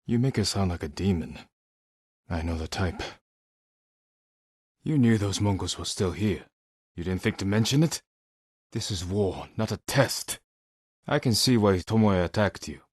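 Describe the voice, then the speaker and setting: Honourable samurai warrior, low husky voice, measured and solemn, clipped phrasing, dramatic video game acting. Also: male voice, expressive, no room sound, studio quality, exaggerated voice. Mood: calm, resolute. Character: honourable, stoic samurai warrior, grimly resolute leader. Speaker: video game lead character.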